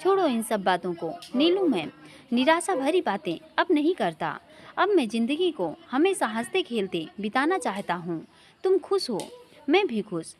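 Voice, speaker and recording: A woman's voice: high-pitched, sing-song, normal speed, darkish tone. A woman voicing a wistful young man who confides his love story in an earnest, informal way. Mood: cheerful.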